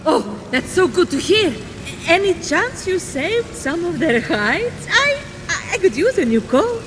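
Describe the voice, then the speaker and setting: A pampered, vain goddess of love in a comic game. Her very high voice takes its time and swoops extravagantly, breezy and self-absorbed, in a long hall reverb. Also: very animated, a little slow, fairly bright tone, slight background noise, heavily acted. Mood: gushing. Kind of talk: comic video game voice acting.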